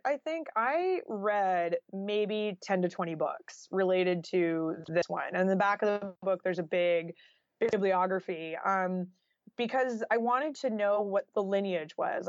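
A woman's voice: mid-range voice, no room sound, muffled recording. A woman giving conversational interview answers as a guest author, explaining informally with lots of "like" and "you know".

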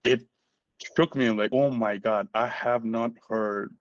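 A man's voice: mid-range voice, fairly even intonation, a little slow, no echo, highs cut off. A middle-aged man from Nepal with a Nepali accent, telling his personal story as an interview guest. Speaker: podcast guest, medical student.

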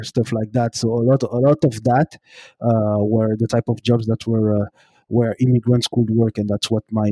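A man from Brussels, answering interview questions in a halting, word-searching way. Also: fairly low pitch, dark tone, dry recording, low-quality recording. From Brussels, Belgium. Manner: hesitant, halting, searching for words. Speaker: podcast guest, consultant.

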